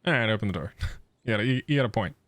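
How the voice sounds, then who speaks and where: A man's voice: fairly low pitch, animated, rapid-fire, fairly bright tone, dry recording. A man doing casual, playful gameplay commentary and reading dialogue choices aloud into a close microphone. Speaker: gaming video creator.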